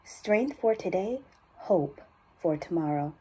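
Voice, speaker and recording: A woman reading as an earnest, hopeful narrator of a sentimental charity advert, deliberate, with a husky, breathy voice. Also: fairly low pitch, lively intonation, mellow, heavily acted. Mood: urgent, hopeful. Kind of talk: scripted ad read, sentimental.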